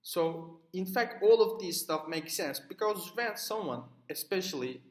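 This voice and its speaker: A man's voice: high-pitched, lively intonation, measured pace. Turkish guy explaining his reading notes for class, lots of uhs and restarts, room echo. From Turkey. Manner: many "uh" fillers and restarts, explaining with personal examples. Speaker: student presenting a reading assignment. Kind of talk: hesitant spoken summary for a class video.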